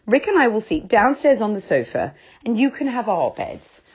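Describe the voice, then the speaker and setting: A woman doing a brisk, sensible young boy. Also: medium pitch, sing-song, breathy edge, voice pushed up. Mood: urgent. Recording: dull lo-fi sound.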